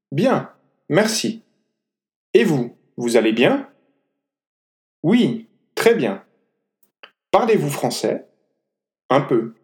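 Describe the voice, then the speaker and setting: Guy reading out language lesson phrases, really slow and deliberate, one short line at a time. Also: mid-range voice, darkish tone.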